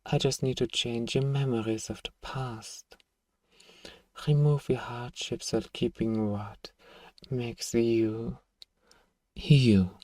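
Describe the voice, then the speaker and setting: A man voicing a seductive, manipulative vampire lord in an ASMR roleplay, coaxing and possessive, with a breathy voice that often drops toward a whisper. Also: medium pitch, fairly even intonation, darkish tone, dry recording, far from the mic, close to an everyday voice. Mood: possessive, smooth. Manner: slow, soothing, coaxing, possessive. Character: seductive, manipulative male vampire lord hypnotising captive prey.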